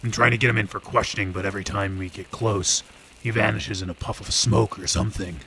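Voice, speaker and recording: An actor playing a gruff, weary, hard-drinking police detective in an old time radio drama. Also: male voice, fairly low pitch, fairly even intonation, fairly quick, a bit bright, slight background noise, lightly acted, rough recording.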